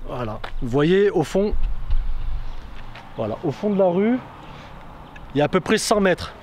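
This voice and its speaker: A man's voice: high voice, very animated, a little slow, a little mellow. A man giving a casual product review chat in informal, slangy speech full of verbal tags. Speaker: video creator reviewing gear. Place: outdoors by a street. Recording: outdoors with faint traffic noise.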